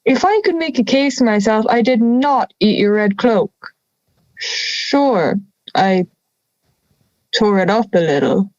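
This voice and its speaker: A woman's voice: mid-range voice, animated, slow, darkish tone, no echo, muffled recording. A young woman playing a friendly male wolf with a dry, sardonic manner in an audio drama. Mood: wry. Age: young adult. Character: friendly male big bad wolf, wry and gentle.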